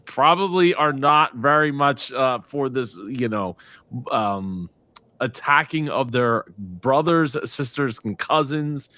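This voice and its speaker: A man interviewer with a bright, forceful voice, mid pitch, recorded dry and close. He talks loosely, with plenty of fillers and sentences that trail off. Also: animated, slow pace, dry recording. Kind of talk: casual interview conversation.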